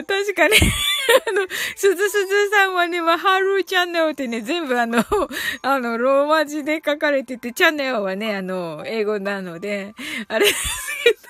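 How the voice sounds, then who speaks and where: A woman's voice: high voice, fairly bright tone. A woman hosting a casual, chatty livestream English lesson, often giggling as she talks. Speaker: livestream host teaching English phrases. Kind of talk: casual chatty livestream, reading listeners' comments.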